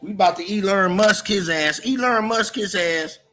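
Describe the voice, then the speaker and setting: A man's voice: high-pitched, moderate pace, pushed and bright, soft background music. An American man from California hosting a casual livestream, talking in a slangy, repetitive, confrontational way as he moderates the chat. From Oakland, California, US.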